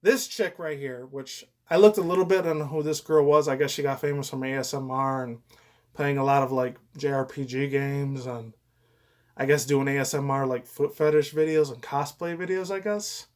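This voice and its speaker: A man's voice: mid-range voice, steady tone, moderate pace. A young man giving rambling, casual commentary as a vlogger, slangy, digressive and full of swearing.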